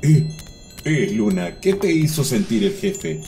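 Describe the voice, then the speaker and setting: A man's voice: on the high side, big pitch swings, slow, vocal fry, mellow, slight background noise, heavily acted. A man voicing a proud, quarrelsome old sun spirit in a children's cartoon, boastful and indignant. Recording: music behind the voice.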